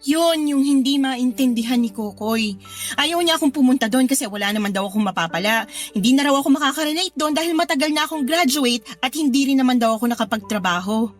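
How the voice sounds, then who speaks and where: A woman's voice: sits high, fast talker, faint music behind, close to an everyday voice. An actress playing a hurt, self-doubting housewife and mother in a radio drama, with a plaintive way of talking. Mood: sullen, hurt. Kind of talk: Tagalog radio drama acting.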